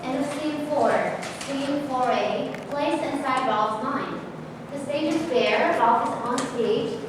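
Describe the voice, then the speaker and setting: A woman's voice: high-pitched, slow pace. A woman reading stage directions as scripted narration at a staged play reading. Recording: distant, very echoey hall, clear noise.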